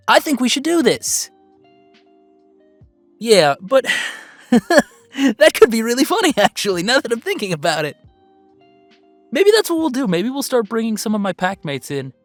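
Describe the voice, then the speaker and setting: A woman's voice: mid-range voice, dry recording. Woman as an earnest young male werewolf streamer. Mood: playful, giddy. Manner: heartfelt, halting, informal streamer chatter. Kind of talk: audio roleplay as a livestream confession to viewers.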